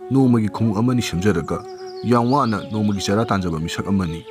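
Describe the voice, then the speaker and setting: A man's voice: on the low side, racing, natural voice. A man voicing a humble but crafty village cobbler in a storybook tale, with a persuasive way of talking. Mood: pleading, sly. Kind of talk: storybook character acting. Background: music.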